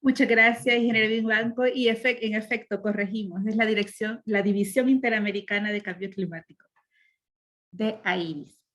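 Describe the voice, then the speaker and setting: A woman's voice: mid-range voice, steady tone, normal speed, a bit bright. A woman moderating a webinar, formal and courteous as she hands the floor to a speaker. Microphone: video call.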